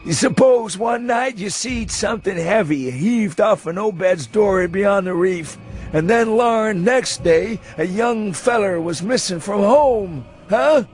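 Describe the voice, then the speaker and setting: A man's voice: high voice, measured pace, faint music behind. A man voicing a drunken, hysterical old man with a rural New England accent and rustic, goading speech in a dramatised story reading. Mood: maniacal, taunting. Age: elderly. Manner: rustic dialect, goading questions ending in "huh?". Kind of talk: dramatised audiobook reading for radio.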